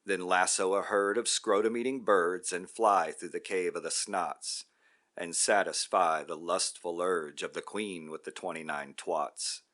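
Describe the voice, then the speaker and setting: A serene, godlike old guru, voiced by a man reading a poem, his voice dropped low and soft. He speaks slowly and evenly, with a bright, clear edge to the timbre. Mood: ominous. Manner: calm, low and hushed, drawn out. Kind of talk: poetry reading.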